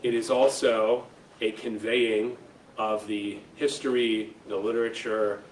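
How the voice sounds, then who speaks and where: A man's voice: fairly low pitch, flat delivery, measured pace. An American man giving an informal educational presentation to an audience, talking conversationally and checking in with his listeners. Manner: conversational, checks in with "right?" and "okay?". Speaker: presenter, lecturer. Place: room at a school, speaking to an audience. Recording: roomy echo, distant mic, faint noise.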